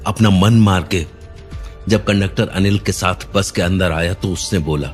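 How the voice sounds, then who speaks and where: Low-voiced man narrating a horror tale. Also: soft background music. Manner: suspenseful, measured storytelling. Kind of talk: scripted horror story narration.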